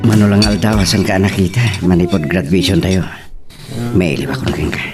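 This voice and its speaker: Deep-voiced young man in love acted out. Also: fairly quick, far from the mic, over music, close to an everyday voice. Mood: wistful. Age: young adult. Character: young man in love, humble, anxious job seeker. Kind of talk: Ilocano radio-style romance drama acting.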